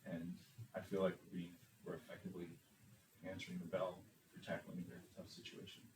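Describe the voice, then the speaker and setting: Low voiced guy at a job interview, sure of himself, kinda far from the mic in an echoey room. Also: monotone. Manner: confident, measured, self-assured. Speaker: superintendent candidate. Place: meeting room. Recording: echoey room, distant mic, faint noise.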